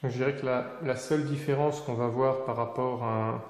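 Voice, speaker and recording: A man giving an unscripted product demonstration, hesitant and often pausing in mid-sentence. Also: mid-range voice, steady tone. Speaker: tech product reviewer. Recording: echoey, reverberant room.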